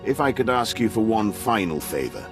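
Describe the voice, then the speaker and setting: Casting: stern Imperial colonel, deep register, formal and pompous, game cutscene with strong music bed. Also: male voice, big pitch swings, moderate pace. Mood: grave, confident. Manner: formal, pompous, deferential military briefing. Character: stern male Imperial colonel, patriotic military commander. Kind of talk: scripted game cutscene acting.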